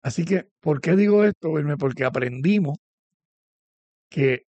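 A man's voice: a little high, expressive, a little slow, a little mellow, no echo, muffled recording. A man hosting a conversational religious interview. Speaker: host.

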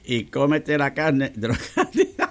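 A man's voice: fairly high pitch, huge pitch swings, unhurried, fairly bright tone, dry recording. A man hosting a radio show in casual chat, joking and laughing at himself often. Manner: jokey, laughs often, self-mocking. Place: radio studio.

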